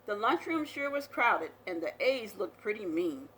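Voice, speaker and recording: A woman reading a children's picture book aloud as a storyteller, with expressive, dramatic delivery. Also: medium pitch, normal speed, slight background noise, low-quality recording.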